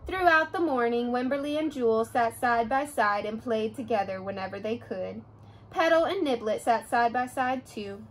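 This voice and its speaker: A young adult woman reading a children's picture book aloud, with expressive storytelling. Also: on the high side, normal speed.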